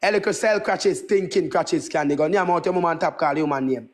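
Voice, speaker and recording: A man's voice: a little high, a little fast. A Jamaican man in his twenties who speaks Patois in a brash, boastful, repetitive way, ranting casually on a livestream. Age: 20s. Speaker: livestream host.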